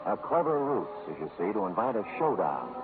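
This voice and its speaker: A man's voice: lowish voice, sing-song, fairly quick. A man playing a sardonic, cocky hard-boiled private detective in 1940s radio noir style, with wry, mock-formal wording. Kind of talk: 1940s radio noir acting and first-person narration. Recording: tinny old radio recording, music behind.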